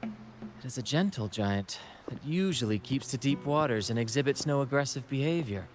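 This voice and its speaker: An actor playing a flamboyant, theatrical ship captain in a video game, with grand, courtly phrasing. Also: male voice, medium pitch, animated, normal speed, faint music behind, lightly acted. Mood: courtly, thoughtful. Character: flamboyant swashbuckling ship captain leading a merry theatrical troupe. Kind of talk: video game character acting.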